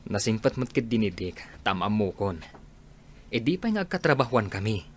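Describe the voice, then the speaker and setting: A man's voice: fairly low pitch, very animated, moderate pace, a little hiss or hum. A man acting a friendly, coaxing workmate in a Filipino audio drama.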